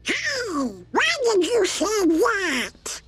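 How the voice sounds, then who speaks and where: Casting: hot-tempered game duck, extremely high garbled quack, piercing and drawn out. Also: male voice, very animated, very slow, fairly bright tone, heavily acted. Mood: indignant. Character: irascible cartoon duck wizard.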